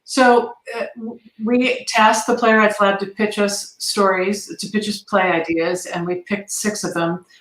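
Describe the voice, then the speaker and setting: The voice comes through with a roomy echo. It is a woman of middling pitch, talking conversationally as an interview guest. She sounds enthusiastic as she explains things. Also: steady tone, unhurried, fairly bright tone. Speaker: guest, theatre arts advocate.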